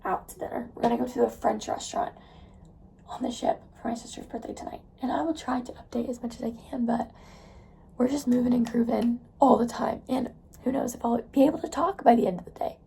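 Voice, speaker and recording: A young woman chatting casually in a vlog, rambling with lots of fillers, her voice hoarse because she is losing it. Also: fairly high pitch, fairly quick, mellow, rough recording. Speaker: vlogger.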